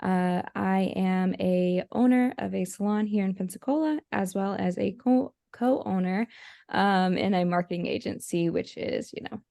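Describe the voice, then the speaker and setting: A woman's voice: mid-range voice, a little slow, vocal fry, mellow, dry recording, studio quality. A woman in her twenties, a salon owner on a panel, speaking casually with fillers. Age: 20s. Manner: casual, with fillers like you know.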